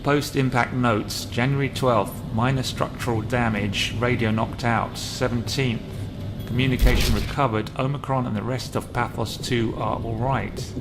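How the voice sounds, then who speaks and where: A weary male crewman acted by a man dictates a terse log in a video game. His voice sits at a medium pitch, with music clearly behind. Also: fairly even intonation, normal speed, lightly acted. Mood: weary, reporting. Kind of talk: game voice acting, log entry.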